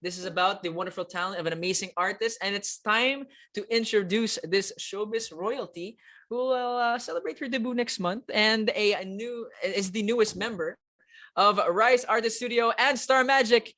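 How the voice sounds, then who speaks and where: A man's voice: high-pitched, moderate pace, bright, forceful tone. Chatty man hosting a launch. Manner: upbeat, chatty, switching between English and Tagalog with many fillers. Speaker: host. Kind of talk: live-streamed media announcement hosting.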